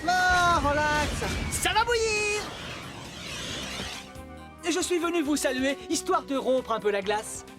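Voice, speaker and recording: Young, breezy, confident hedgehog hero for cartoon dub, high-pitched, over prominent music. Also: male voice, lively intonation, slow, pauses often, full character voice. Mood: cocky, wisecracking. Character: cocky, heroic young male cartoon hedgehog.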